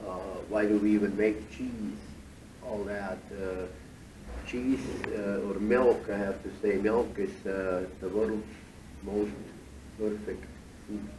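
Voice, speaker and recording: A man from Switzerland, guiding a tour through a cheese factory and explaining things at an unhurried pace with many fillers. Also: on the low side, fairly even intonation. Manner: unhurried, many fillers, slightly foreign phrasing. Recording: echoey factory hall, distant mic, machinery noise.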